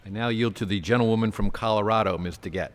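A man's voice: on the low side, quick pace. A man presiding over a legislature, making formal procedural announcements. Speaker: presiding legislator yielding the floor. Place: large legislative chamber. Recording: long hall reverb, chamber microphone.

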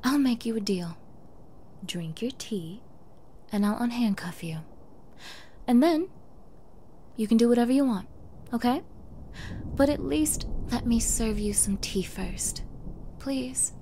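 A woman's voice: a little high, a little slow, pauses often, dark tone, slight background noise. A woman playing a sweetly menacing, possessive young girlfriend in an intimate ASMR roleplay, coy and cooing, often dropping into whispers. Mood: pleading, sweet. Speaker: roleplay audio performer.

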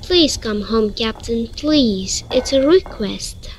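A woman's voice: fairly high pitch, lively intonation, takes it slowly, slight background noise. A woman acting an ironmaster's modest, kind young daughter in a student radio drama, earnest and pleading.

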